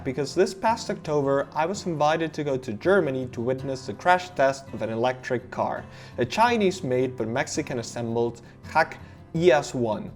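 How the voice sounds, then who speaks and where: A man's voice: fairly high pitch, a little mellow. A man from Argentina, speaking English as a second language, narrating a car safety explainer with enthusiastic emphasis. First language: Spanish. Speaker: car reviewer.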